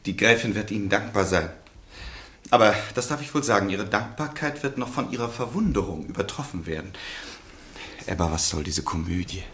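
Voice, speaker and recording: An actor playing a proud, lovesick count in a radio play, formal and ironic. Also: male voice, lowish voice, expressive, fairly quick, fairly bright tone, slight background noise. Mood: agitated, pleading. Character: proud, wounded count in love, pleading and sardonic. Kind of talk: German literary radio play acting.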